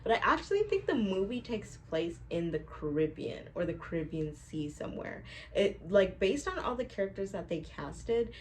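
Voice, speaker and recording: A woman's voice: mid-range voice, big pitch swings, moderate pace, hardly any pauses, a little hiss or hum, rough recording. A woman hosting a vlog, giving a casual movie review in a very informal, conversational way. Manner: informal, peppered with "like".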